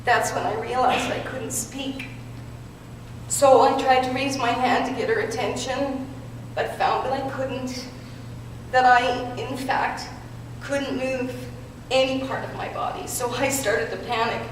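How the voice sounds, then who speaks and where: A woman giving a prepared, earnest speech at an awards ceremony, telling her own story in a measured way. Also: high voice, fairly even intonation. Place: large hall with audience. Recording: echoey hall from far off, background noise.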